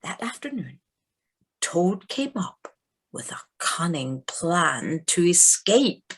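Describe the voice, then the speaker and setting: A woman's voice: fairly low pitch, very animated, takes it slowly, a little hiss or hum. A woman narrating an audio play as a storyteller, theatrical, with emphasis on key words. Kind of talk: scripted dramatic storytelling. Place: living room, recorded over video call.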